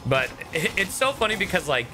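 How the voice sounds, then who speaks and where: A man's voice: sits high, fairly quick, background noise. A man chatting casually and swearing freely as he live-streams a game. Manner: animated, sweary. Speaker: streamer and voice actor. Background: video game music.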